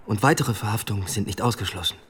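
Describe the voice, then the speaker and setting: A man playing an earnest, quietly determined police inspector in a radio play, formal and controlled. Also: on the low side, fast talker, a little hiss or hum, lightly acted.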